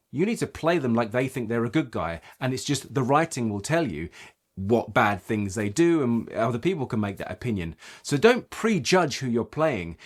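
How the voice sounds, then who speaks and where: A man giving an instructional talk as a voice coach, explaining points emphatically and checking in with short rhetorical questions. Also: medium pitch. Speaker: voice acting coach.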